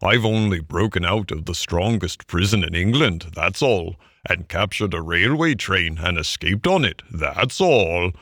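A man's voice: low-pitched, lively intonation, measured pace, hardly any pauses, a bit bright, dry recording, clean studio sound, heavily acted. A man voicing a boastful, vain, self-dramatising male toad in a storybook, with a puffed-up, swaggering delivery. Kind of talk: storybook character voice.

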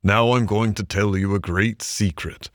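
A man voicing a stern older male badger in a storybook, gruffly kind, with a dry, weighty, commanding manner. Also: lowish voice, steady tone, a little slow, a bit bright, no room sound. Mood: reassuring. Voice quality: thin, dry. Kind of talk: storybook character voice.

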